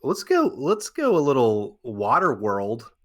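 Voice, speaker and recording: A man's voice: mid-range voice, huge pitch swings, a little slow, a bit bright. A man co-hosting a podcast in casual, conversational chat. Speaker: podcast host.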